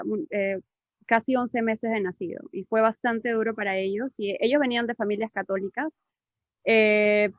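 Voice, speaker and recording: A woman's voice: fairly high pitch, no room sound. Peruvian woman in her thirties on a dull, dry call. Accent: Peruvian Spanish with a mixed, Cuban-tinged touch. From Peru. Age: 30s. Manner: chatty, many fillers and repeated words. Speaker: interview guest. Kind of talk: personal testimony over a video call. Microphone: video call.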